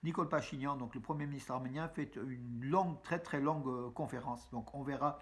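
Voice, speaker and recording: A man delivers a casual news roundup straight to his audience. He speaks in a chatty, halting way, full of fillers and restarts, and keeps calling his viewers friends. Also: mid-range voice. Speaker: news vlogger. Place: room with a map on the wall behind him.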